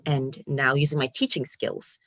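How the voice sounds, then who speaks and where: A woman from Ghana in her forties, an online course coach telling her story in an animated, casual way with restarts and fillers. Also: very deep voice, normal speed, no room sound. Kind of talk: casual interview chat.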